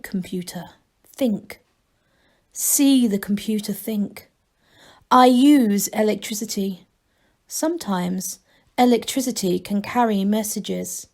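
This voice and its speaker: A patient, literal storybook machine, voiced by a woman. It talks slowly in short, simple, deliberate statements, as a computer explaining itself, at a fairly high pitch. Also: a little mellow.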